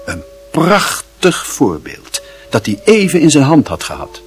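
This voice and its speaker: A man narrating literary fiction as an expressive audiobook storyteller. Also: a little high, unhurried, soft background music.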